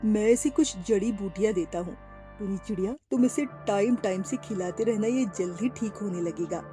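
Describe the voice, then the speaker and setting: A woman voicing a calm male pigeon doctor in a cartoon story, speaking slowly and gravely. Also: medium pitch, music in the background, natural voice. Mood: serious.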